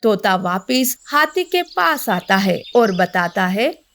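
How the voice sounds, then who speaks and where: A woman's voice: mid-range voice, very animated, slow, fairly bright tone. A woman narrating a scripted children's story.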